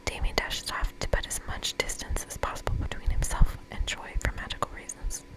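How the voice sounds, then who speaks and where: A woman whispering playfully in an ASMR style as she reads a video game aloud, breathy and unvoiced, with short asides. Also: brisk, poor audio. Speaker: ASMR streamer playing and reading a video game.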